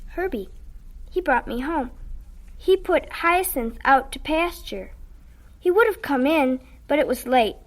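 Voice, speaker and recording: A woman's voice: high voice, expressive, a little slow. A woman playing a sulky, stubborn young schoolgirl in a radio drama, with a blunt, flippant way of talking. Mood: tired, subdued. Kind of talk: radio drama acting and first-person narration.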